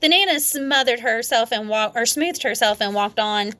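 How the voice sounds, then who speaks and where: A woman reading a children's story aloud in expressive narration. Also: a little high, normal speed. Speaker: storybook reader.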